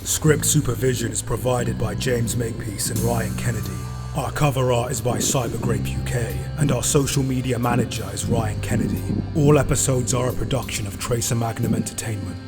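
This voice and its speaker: A man's voice: mid-range voice, fairly even intonation, brisk, few pauses. A man reading scripted end credits as a podcast announcer. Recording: loud music under the voice. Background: prominent musical score.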